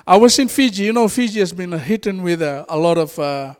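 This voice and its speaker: A man with a Fijian accent, a native Fijian speaker, preaching an informal sermon in an animated way and laughing often. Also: high voice, moderate pace. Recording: reverberant hall.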